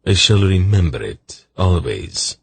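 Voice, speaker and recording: A man voicing a cruel, sardonic male disciple who intones formal fantasy speech with quiet menace. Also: low voice, very animated, measured pace, gravelly, husky, no echo, full character voice. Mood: veiled menace. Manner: sardonic, intoning, veiled threat.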